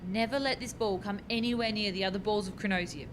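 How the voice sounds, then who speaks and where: An actress playing an earnest, bossy, harried young scientist in a radio farce, explaining things insistently. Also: female voice, mid-range voice, fairly even intonation, quick pace, background noise, natural voice. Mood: urgent, instructing. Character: earnest, bossy, harried female doctoral time-travel researcher.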